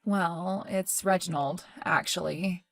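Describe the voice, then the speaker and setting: A woman's voice: lowish voice, fairly even intonation, takes it slowly, a bit bright, faint music behind. A woman playing a concerned, judgmental sister in dramatic dialogue, earnest and apologetic in manner. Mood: hesitant, confiding.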